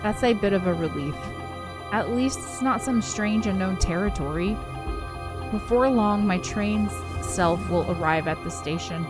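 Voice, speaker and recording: Expressive woman narrating over music. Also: medium pitch, measured pace. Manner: expressive narration with giggly asides. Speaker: co-host of a game playthrough. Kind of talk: reading a visual novel aloud with casual commentary. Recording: music playing behind the voice. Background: game soundtrack music.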